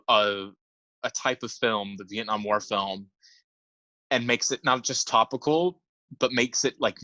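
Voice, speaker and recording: Bright-voiced young man gushing about film. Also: lowish voice, no echo, low-quality recording. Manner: enthusiastic, filler-heavy, repeats words. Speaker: podcast co-host. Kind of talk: casual film podcast chat.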